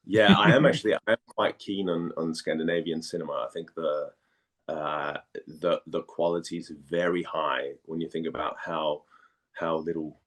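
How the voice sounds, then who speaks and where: A man from Denmark speaking casually as an interviewed actor, halting and repeating phrases as he searches for words. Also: extremely low pitch, fairly even intonation, fairly bright tone.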